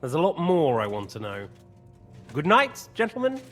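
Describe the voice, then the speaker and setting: A sadistic, taunting interrogator, swooping delivery. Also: male voice, a little high, wildly swooping pitch, moderate pace, a bit bright, full character voice. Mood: smug, mocking. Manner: taunting, leisurely, theatrically courteous. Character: sadistic, mocking male interrogator and commander, toying with prisoners. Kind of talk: video game cutscene acting. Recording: music behind the voice.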